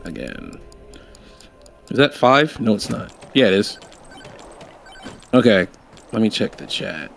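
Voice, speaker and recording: A man's voice: fairly low pitch, sing-song, takes it slowly, creaky, long pauses, soft background music. A man in his twenties from Maryland who hosts a livestream, rambling and thinking aloud in a loose, casual way. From Maryland, USA. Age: 20s. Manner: rambling, thinks aloud, repeats himself while doing setup. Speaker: streamer host.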